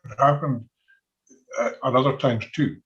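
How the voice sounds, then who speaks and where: Older Scottish male, ex-soldier historian, halting conversational delivery, average pitch, muffled lo-fi sound. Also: fairly even intonation, soft background music. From Scotland. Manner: halting, conversational, chuckles often. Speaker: military historian and former soldier. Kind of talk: informal talk on military history. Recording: dull, lo-fi audio.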